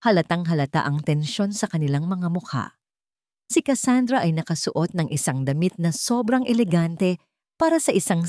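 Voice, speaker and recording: A woman narrates a scripted drama in a fairly low voice. Her pitch moves widely through the telling, and the sound is close and dry. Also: a little mellow, dry recording. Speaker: storyteller, narrator.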